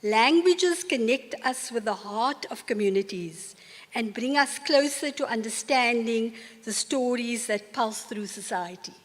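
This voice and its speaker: An older woman with a South African accent giving a formal acceptance speech in a large university hall, measured and earnest. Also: a little high, expressive. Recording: long reverb of a large hall.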